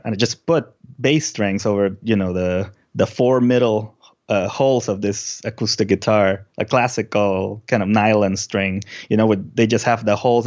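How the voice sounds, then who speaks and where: Low-voiced Peruvian man, halting storyteller. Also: lo-fi sound. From Peru. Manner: halting, thinking aloud. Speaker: musician, interview guest. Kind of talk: casual interview storytelling.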